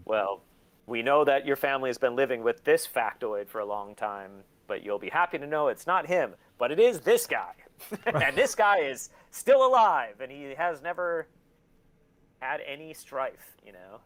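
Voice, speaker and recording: A man's voice: medium pitch, big pitch swings, normal speed, a bit bright. A man being interviewed, telling stories in casual chat with blunt, sweary talk and an easy laugh. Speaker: interview guest, collector and documentary maker.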